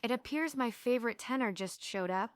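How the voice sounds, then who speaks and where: A woman's voice: a little high, fairly quick, clean tone, no room sound, studio quality, natural voice. A woman playing a playful, theatrical thirteen-year-old girl who dreams of stardom, in a stage reading. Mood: playful, excited.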